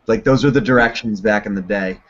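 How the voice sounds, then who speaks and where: A man's voice: fairly low pitch, fairly bright tone. A young man hosting a casual video call chat from a dim room at home, laid-back and laughing often. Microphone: webcam on a video call. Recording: dull lo-fi video call audio.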